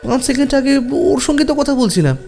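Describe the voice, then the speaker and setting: A man's voice: very high-pitched, animated, quick pace, a little mellow. An actor playing a startled, jittery young man in an audio ghost story, speaking in casual exclamations. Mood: disbelieving, alarmed. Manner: colloquial, exclamatory. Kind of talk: audio story character acting. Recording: music behind the voice. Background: music.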